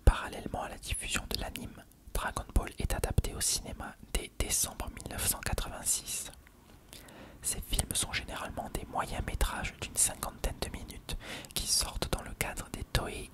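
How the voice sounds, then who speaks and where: An ASMR narrator reads an encyclopedia-style article entirely in an unvoiced whisper.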